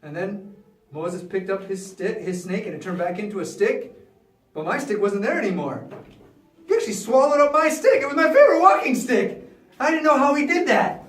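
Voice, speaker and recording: A man playing a boastful, chatty ancient Egyptian chief magician, telling a Bible story in animated, casual modern speech. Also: high-pitched, moderate pace. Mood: rueful, chatty. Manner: animated, conversational, acting out the other characters. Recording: echoey room, far from the mic.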